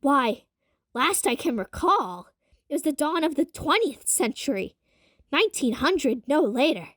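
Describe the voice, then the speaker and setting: A woman's voice: high voice, big pitch swings, a little slow, fairly bright tone, no room sound, studio quality, heavily acted. Woman voicing a formal old-fashioned male scientist. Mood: incredulous. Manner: formal, wordy, hesitant. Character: old-fashioned man of science from 1900, proper, defensive.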